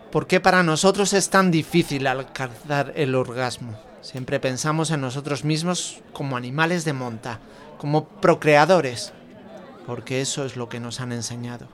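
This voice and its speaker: A man's voice: medium pitch, fairly bright tone, lightly acted. An actor with an accent from Spain playing a thoughtful, outspoken man who confides intimately in a friend, reflective and hesitant, repeating words. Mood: earnest. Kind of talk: Spanish audio fiction dialogue.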